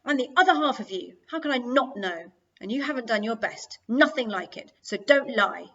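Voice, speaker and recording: A woman's voice: a little high, quick pace, creaky, distant mic, dull audio. A woman voicing an angry young twin sister who scolds in an accusing, emphatic, mocking way in an audiobook. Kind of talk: audiobook character dialogue.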